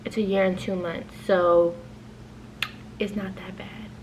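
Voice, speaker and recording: Brief: young woman vlogger, mid-pitched, loose and talkative, with a light hiss behind her. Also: flat delivery, takes it slowly, a little mellow, low-quality recording. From New York, raised in New Jersey. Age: 20s. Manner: chatty, playful, swears freely. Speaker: channel host. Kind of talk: casual couples Q&A chat.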